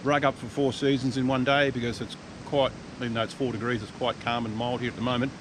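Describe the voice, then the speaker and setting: An Australian man hosting a motorcycle vlog, chatting casually outdoors in an easygoing way, with relaxed local slang. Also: fast. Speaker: vlog host and adventure motorcyclist. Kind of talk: casual chat to camera. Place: outdoors by the roadside. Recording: outdoor noise with vehicle rumble.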